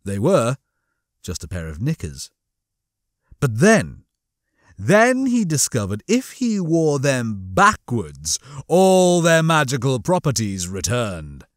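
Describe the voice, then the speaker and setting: A man reading comic fiction as a scripted audiobook, with a theatrical, expressive storytelling manner. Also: mid-range voice, slow pace, no room sound, clean studio sound. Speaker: audiobook narrator.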